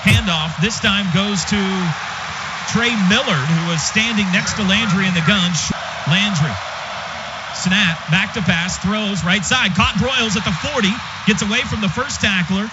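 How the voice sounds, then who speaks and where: American male football announcer, high voice, clipped excitable radio calls, lo-fi sound under heavy noise. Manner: clipped, rapid-fire phrases; excitable, voice rising on big plays. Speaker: play-by-play announcer. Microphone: broadcast booth microphone. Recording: dull lo-fi broadcast, loud stadium noise.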